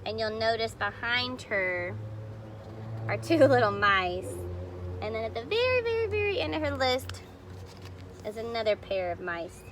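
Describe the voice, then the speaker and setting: A woman's voice: on the high side, big pitch swings, unhurried, long pauses, fairly bright tone, slight background noise. A woman reading a picture book aloud expressively, explaining details to young listeners in casual asides. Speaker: storybook reader.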